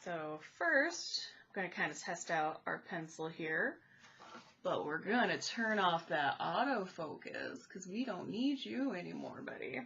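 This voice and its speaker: A woman who is congested and a little raspy, chatting casually about art supplies while she draws at home. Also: fairly low pitch, big pitch swings, unhurried, a bit bright, lo-fi sound, a little hiss or hum. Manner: chatty, thinking aloud while drawing. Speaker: art vlogger. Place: home, indoors at a table. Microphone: camera overhead pointed at the paper. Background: furnace and cat noises.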